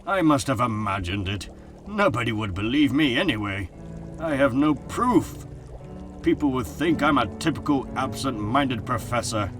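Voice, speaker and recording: A man playing an excitable, self-doubting older professor, hesitant and musing, in a dramatic comic-book reading. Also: medium pitch, normal speed, music in the background, close to an everyday voice. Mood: doubtful, musing.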